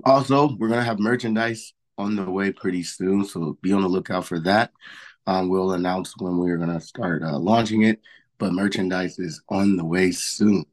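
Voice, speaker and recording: Hoarse man, podcast host, lowish voice, loose rambling delivery. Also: steady tone, moderate pace. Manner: rambling, filler-heavy announcements. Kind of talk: casual podcast chat.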